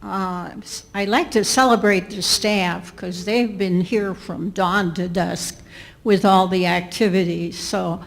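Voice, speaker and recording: A woman's voice: lowish voice, fairly even intonation, takes it slowly, gravelly. A woman in a congregation announcing celebrations and inviting everyone to a coffee gathering. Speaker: congregation member. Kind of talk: announcement at a church service.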